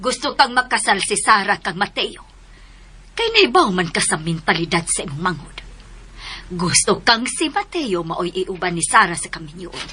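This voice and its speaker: Forceful, animated, stern mother. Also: mid-range voice, normal speed, a little hiss or hum. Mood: stern, accusing. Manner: scolding, commanding. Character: stern, shrewd mother of two grown sons. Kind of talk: radio drama acting.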